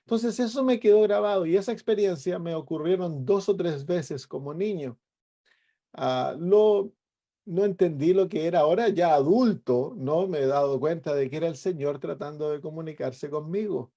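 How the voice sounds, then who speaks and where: Mellow middle-aged Chilean pastor giving testimony. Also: male voice, fairly high pitch, moderate pace. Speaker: pastor and evangelist. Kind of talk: personal testimony.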